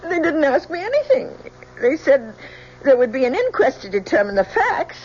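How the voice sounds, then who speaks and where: An actress playing an anxious, pleading young bride-to-be, breathless and emotional, in old-time radio drama style. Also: female voice, high-pitched, very animated, moderate pace, darkish tone. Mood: uneasy, pleading. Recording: dull old radio recording, faint noise.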